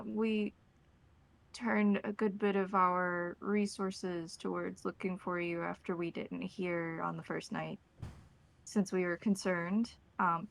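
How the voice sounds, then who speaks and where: A woman playing a concerned, reserved male partner on a phone call, speaking in a measured, formal, polite way. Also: on the low side, gravelly, fairly bright tone.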